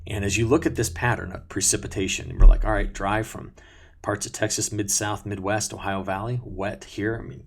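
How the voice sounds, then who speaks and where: A man's voice: on the low side, gravelly, breathy edge. A man presenting an unscripted weather briefing in a conversational, self-correcting way, talking viewers through maps as a forecaster. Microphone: new sensitive close mic at a desk.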